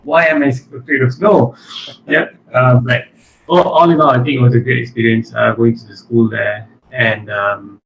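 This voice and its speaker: A man's voice: medium pitch, steady tone, moderate pace, creaky, a bit bright. A man from Malaysia with a Malaysian accent, chatting casually as an interview guest. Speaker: interview guest, animation studio chief executive. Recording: muffled lo-fi audio with faint noise.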